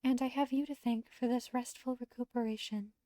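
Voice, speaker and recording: A streamer putting on a gentle young woman from a visual novel, pitched high and politely formal. She sounds soft-hearted and earnest, in a close, dry recording. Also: fairly even intonation, fairly quick, dry recording, close to an everyday voice. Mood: grateful, formal.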